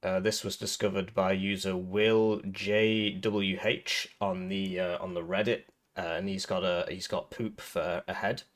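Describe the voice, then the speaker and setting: A man's voice: low-pitched, little pitch movement, unhurried, hardly any pauses. A man with a cold, sounding a little throaty, giving casual rambling commentary over a strategy game with lots of fillers and restarts. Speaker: gaming video creator.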